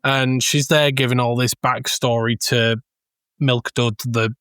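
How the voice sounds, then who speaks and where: A man co-hosting a casual film discussion, telling anecdotes in an animated way and acting out quotes. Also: medium pitch, measured pace, clear, resonant tone, dry recording, studio quality. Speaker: podcast co-host.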